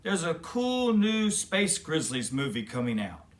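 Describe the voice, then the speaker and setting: A man's voice: a little high, big pitch swings, a little slow. A man voicing an eager young boy bear cub in a children's storybook, with simple delivery.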